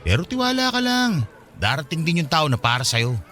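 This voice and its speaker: A man's voice: fairly high pitch, very animated, a little fast, bright, forceful tone, soft background music. An actor playing a friendly 27-year-old Filipino man in a radio drama, chatty and teasing in a casual way. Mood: teasing, curious. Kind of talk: Tagalog radio drama dialogue.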